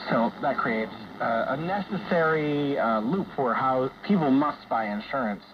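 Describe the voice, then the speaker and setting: A man hosting a conversational radio discussion, explaining things in a measured, hesitant way. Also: medium pitch, lively intonation. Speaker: radio show host. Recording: dull, noisy shortwave radio broadcast.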